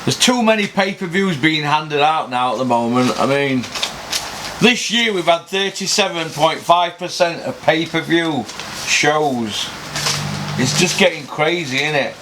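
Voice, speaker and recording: A man's voice: fairly high pitch, lively intonation, unhurried, noisy background. Blunt sweary man ranting. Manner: blunt, sweary, rhetorical questions. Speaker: boxing pundit host. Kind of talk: opinionated casual rant.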